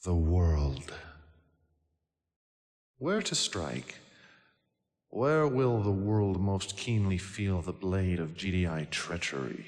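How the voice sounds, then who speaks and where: Slow, brooding megalomaniac villain. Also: male voice, low-pitched, lively intonation, a little mellow, full character voice. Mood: brooding menace. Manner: deliberate, ominous, grandiose. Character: brooding, megalomaniac male villain plotting world conquest. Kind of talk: theatrical video game cutscene acting. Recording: long hall-like reverb.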